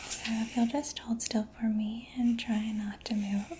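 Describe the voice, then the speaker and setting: A woman doing soft-spoken ASMR roleplay in a breathy whisper, gentle, attentive and reassuring, close to the microphone. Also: a little high, flat delivery, unhurried. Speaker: ASMR performer. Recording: faint background noise.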